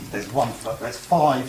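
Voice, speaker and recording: A man who owns a small business, making a croaky-voiced, earnest statement at a council meeting. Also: mid-range voice, a little mellow, a little hiss or hum. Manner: earnest, measured, frequent ums. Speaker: local business owner addressing council meeting.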